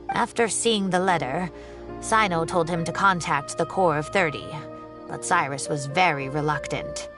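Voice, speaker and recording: An actress playing a chatty, genteel older female professor in a video game, telling the story with gossipy exclamations. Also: low voice. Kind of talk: game voice acting.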